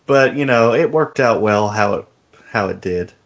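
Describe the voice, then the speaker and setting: A man's voice: fairly low pitch, animated, normal speed, fairly bright tone, highs cut off. A man co-hosting a casual film discussion podcast, giving his opinions in conversational speech.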